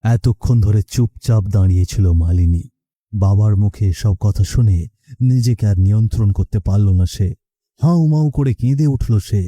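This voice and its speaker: Very dark, husky male narrator. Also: lowish voice, normal speed, no echo, clean studio sound. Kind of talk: scripted dramatic story narration.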